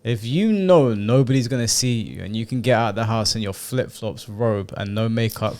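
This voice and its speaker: A man's voice: fairly low pitch, moderate pace, a little mellow. A man giving casual, opinionated reaction commentary in a blunt, informal, sweary way. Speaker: reaction video host.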